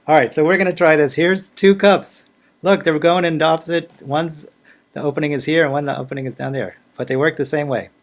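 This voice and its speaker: Man, boston accent, slightly high voice, chatty, laughs a lot, workshop leader on a video call. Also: fairly even intonation, brisk. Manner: chatty, laughs easily, many fillers. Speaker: folk musician leading a workshop. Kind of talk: casual online workshop talk.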